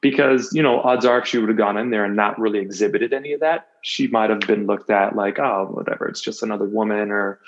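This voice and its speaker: A man's voice: fairly low pitch, fast talker, hardly any pauses. A man in his thirties chatting openly and casually as a podcast guest, rambling and repeating phrases for emphasis. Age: 30s. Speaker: interview guest; motivational coach, podcast host, former athlete. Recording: muffled, dull lo-fi audio.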